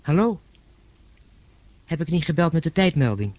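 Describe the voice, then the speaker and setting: A man's voice: fairly high pitch, big pitch swings, normal speed, a little mellow, no echo, highs cut off. A man playing a baffled, persistent telephone caller in a radio play, questioning with irritable, incredulous curiosity. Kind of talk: radio play acting, conversational.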